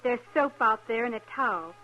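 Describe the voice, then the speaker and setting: An actress with an American accent playing a homely, plain-spoken storekeeper's wife in a 1950s radio western. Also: female voice, on the high side, animated, brisk, dull audio, lightly acted. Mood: hospitable, wry.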